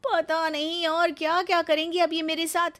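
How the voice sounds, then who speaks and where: A woman's voice: extremely high pitch, little pitch movement, moderate pace, a bit bright, voice pushed up. A woman voicing a young daughter-in-law who complains about her mother-in-law and turns sweet when she wants something. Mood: annoyed. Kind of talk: cartoon story voice acting.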